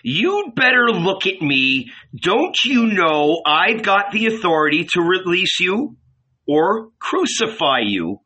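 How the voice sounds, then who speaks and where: A man's voice: on the high side, big pitch swings, takes it slowly, pushed and bright, slightly rough, pitched above the performer's own voice, exaggerated voice. Man acting a haughty Roman governor, raised ringing voice. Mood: angry, commanding. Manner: imperious, taunting, declamatory. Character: proud, mocking, angry Roman governor, male ruler.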